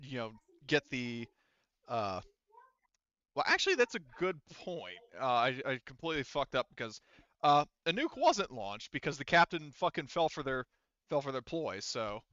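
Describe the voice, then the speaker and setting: Casual, sweary male game master with clear voice. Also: mid-range voice, big pitch swings, pushed and bright, no room sound, lo-fi sound. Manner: casual, profane, halting. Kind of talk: improvised tabletop roleplay narration.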